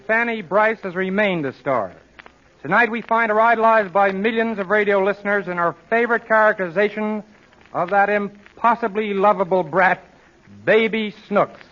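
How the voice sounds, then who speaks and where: High-voiced male announcer, old radio. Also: normal speed. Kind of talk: vintage radio introduction, scripted narration. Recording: dull old radio recording over music.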